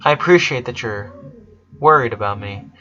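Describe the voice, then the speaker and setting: A man voicing an earnest, caring eleven-year-old boy in a storybook, speaking gently and haltingly. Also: mid-range voice, animated, highs cut off. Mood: flattering.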